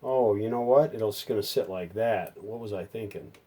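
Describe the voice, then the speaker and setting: A man with a fairly low, very mellow and relaxed voice talks through a hands-on repair. He is unscripted and folksy, working things out aloud as he goes. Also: normal speed. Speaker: hobbyist repairer presenting a how-to video.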